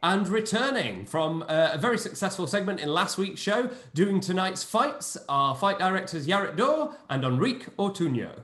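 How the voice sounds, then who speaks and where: Male host, high animated voice, brisk introductions, echoey video-call sound. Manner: brisk, announcing names in a lively sing-song. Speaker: host and producer.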